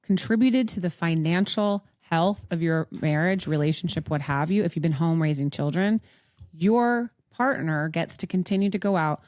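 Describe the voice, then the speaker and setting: A woman's voice: fairly low pitch, moderate pace, darkish tone, dry recording, studio quality. A woman from Montreal with a Canadian accent, hosting a casual advice talk in a blunt, sweary, emphatic manner. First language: English. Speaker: podcast host.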